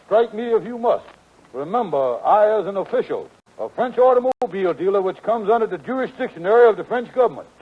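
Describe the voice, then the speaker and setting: An actor playing a scheming, fast-talking conman in a 1940s radio comedy, with pompous, mangled big words in folksy dialect. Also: high-pitched, lively intonation. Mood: pompous bluffing. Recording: tinny, band-limited 1940s radio broadcast.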